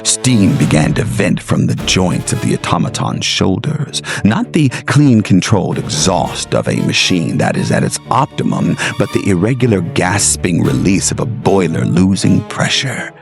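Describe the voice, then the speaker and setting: A man narrating a scripted horror story in a measured, suspenseful, dramatic manner. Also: low-pitched, very animated, creaky, over music.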